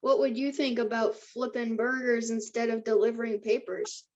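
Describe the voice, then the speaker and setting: A woman's voice: fairly high pitch, level tone, normal speed, darkish tone, lo-fi sound, natural voice. A woman reading the part of an eager, persuasive fourteen-year-old boy at a script table read.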